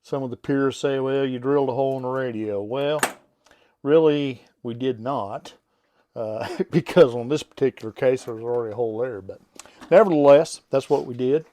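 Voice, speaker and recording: A man giving a casual product demonstration in a folksy, halting, unscripted way, as someone who works on radio gear. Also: medium pitch, warm tone. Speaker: radio seller and technician demonstrating equipment.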